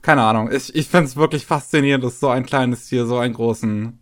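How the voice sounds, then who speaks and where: A man's voice: mid-range voice, fairly quick. A man chatting casually as a podcast co-host. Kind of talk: casual chat.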